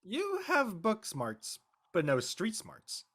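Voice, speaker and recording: A man playing a blunt, skeptical and slightly awkward high school boy in a script reading. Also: wildly swooping pitch, slow, fairly bright tone, slightly rough, exaggerated voice. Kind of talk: casual live script reading.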